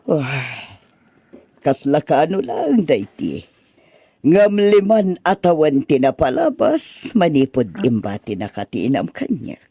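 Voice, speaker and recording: Man playing a doting mother, animated and mellow. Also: a little high, takes it slowly, exaggerated voice. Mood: gentle, reassuring. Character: doting, motherly woman caring for her children. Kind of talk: radio drama acting.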